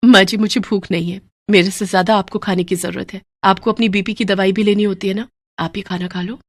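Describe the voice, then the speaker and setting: Storybook heroine: woman acting a dutiful young daughter-in-law, gentle, soft-spoken, dry sound. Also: mid-range voice, fairly even intonation, brisk, dry recording, close to an everyday voice. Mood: tender. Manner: soft, patient.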